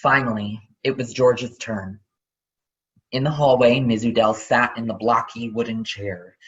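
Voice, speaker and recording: A man's voice: medium pitch, fairly even intonation, a little slow. A man narrating children's fiction as a dramatic reading. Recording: dull, lo-fi sound.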